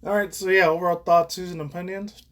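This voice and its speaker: A man's voice: high voice, fairly even intonation, fast. A man in his thirties giving casual, rambling reaction commentary as a YouTuber, frank and profane. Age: 30s. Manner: crude, frank, profane, chuckling asides.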